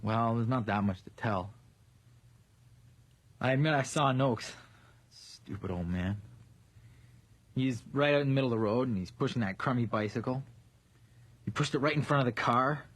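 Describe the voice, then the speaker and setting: A man's voice: mid-range voice, moderate pace, pauses often, lightly acted. An actor playing a cocky, defensive young man in a radio drama, brash and flippant. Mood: evasive, flippant. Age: young adult.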